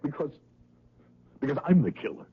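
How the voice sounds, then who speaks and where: A man's voice: fairly high pitch, animated, normal speed, slightly rough. An actor playing an anguished, insecure, lonely man in a 1970s radio drama, earnest and pleading. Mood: anguished confession. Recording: tinny narrowband vintage radio recording.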